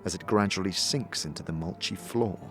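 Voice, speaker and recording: A man's voice: low voice, a little fast, faint music behind. A man narrating a scripted fantasy audio drama in a dramatic storytelling manner. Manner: dramatic, storytelling in second person. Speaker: narrator, game master.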